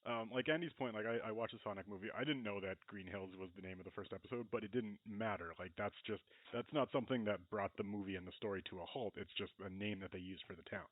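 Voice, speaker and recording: A man with a fairly low voice talks as a podcast co-host in a casual discussion. He explains his reasoning in an informal way, peppered with "like". The sound is dry and narrow, as if over a phone. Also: quick pace, few pauses, dry recording. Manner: explanatory, frequent "like".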